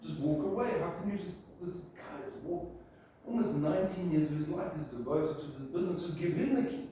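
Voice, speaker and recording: A man's voice: a little high, fairly quick, mellow. A man in his fifties with a South African accent, telling his business story to a group in a chatty, rambling way. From Zimbabwe. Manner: chatty storytelling, many ums and restarts. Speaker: guest speaker, print shop owner. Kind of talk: casual talk to a business group. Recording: echoey room, from a distant mic, faint noise.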